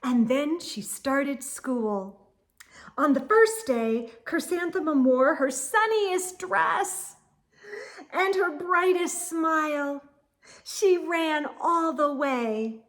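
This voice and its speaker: A woman reading a children's picture book aloud in an expressive, theatrical way, lingering on words. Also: high-pitched, extremely slow. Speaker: storytime reader.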